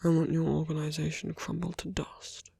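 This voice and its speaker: A man playing a smitten, idealistic villain in an intimate ASMR roleplay, with a husky, often whispered voice and an earnest, coaxing manner. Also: a little high, completely flat delivery, fairly quick, mellow, heavily acted. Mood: earnest, tender. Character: romantic male villain, smitten, idealistic, urging a lover to flee.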